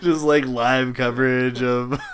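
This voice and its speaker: A man co-hosting a casual podcast, making sarcastic jokes and quick asides. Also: mid-range voice, flat delivery, slight background noise. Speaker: podcast co-host.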